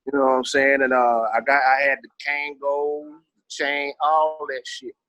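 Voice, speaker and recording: A man's voice: mid-range voice, flat delivery, moderate pace, pushed and bright, no room sound. A middle-aged man with a Southern American accent, reminiscing casually as an interview guest in an emphatic, slangy, streetwise way peppered with swearing. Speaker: interview guest, veteran rapper.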